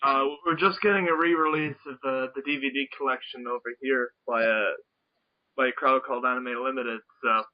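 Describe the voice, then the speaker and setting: A man interviewing casually, phrasing his questions in a halting, tentative way. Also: medium pitch, steady tone. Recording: dull, lo-fi radio-like call audio.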